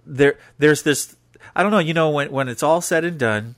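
A man's voice: a little high, moderate pace, a bit bright, no room sound. Playful male podcast host, dry sound. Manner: playful, jokey, interjects often. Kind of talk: casual interview.

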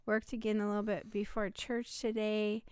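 Chatty woman with a slight rasp. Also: medium pitch, flat delivery, moderate pace, a little mellow, no echo, clean studio sound. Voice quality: slightly raspy. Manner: chatty, laughs often, rambling restarts. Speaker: podcast co-host. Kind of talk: casual chat.

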